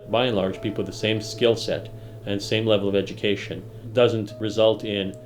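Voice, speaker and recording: Male expert interviewee, somewhat low voice, formal and wordy, explaining analytically over faint music. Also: flat delivery, normal speed. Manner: formal, wordy, academic phrasing. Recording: music playing behind the voice.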